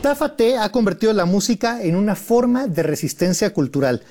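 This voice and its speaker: A woman's voice: mid-range voice, expressive, fairly quick, dark tone. A woman with a Mexican accent hosting a show. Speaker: show host. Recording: music and crowd behind.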